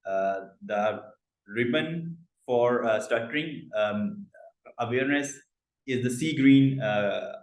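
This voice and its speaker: A man's voice: medium pitch, slow pace, echoey room, poor audio. A man from Pakistan hosting an online club meeting, speaking with a stammer and frequent fillers over a video call. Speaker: meeting host.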